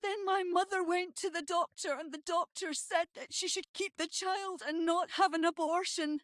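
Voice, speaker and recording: Very high-pitched female narrator. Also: fairly even intonation, normal speed, a bit bright, dry recording, clean studio sound. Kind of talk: scripted narration.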